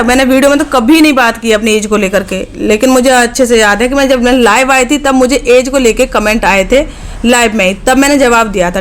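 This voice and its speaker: A woman in her late twenties, a vlogger chatting directly to her viewers in a casual, frank way, often checking in with "okay". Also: on the high side. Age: late 20s.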